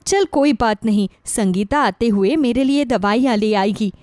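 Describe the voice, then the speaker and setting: A woman's voice: fairly high pitch, normal speed, a little mellow, dry recording, clean studio sound, close to an everyday voice. Woman voicing an affectionate, fretful elderly Indian mother-in-law. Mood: fond. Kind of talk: acted dialogue in a moral story.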